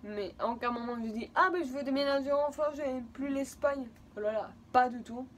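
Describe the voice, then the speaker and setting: Woman, twenties, Spanish accent, native Spanish speaker, rambling emotional storytelling, higher voice, faint noise. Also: moderate pace. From Spain. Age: 20s. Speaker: vlogger. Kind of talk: personal storytelling to camera.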